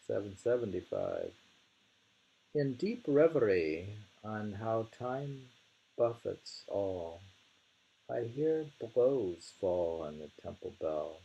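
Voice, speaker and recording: Slow, low, gravelly man reading poetry. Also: warm tone, muffled recording. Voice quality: gravelly, husky. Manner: deliberate, lingers over and repeats phrases. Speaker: poetry reader and commentator.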